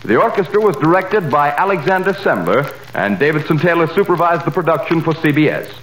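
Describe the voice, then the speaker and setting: A man's voice: a little high, expressive, brisk, a bit bright, slight background noise. Formal 1930s radio announcer man. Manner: formal, crisp announcer delivery. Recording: old radio broadcast in a roomy space.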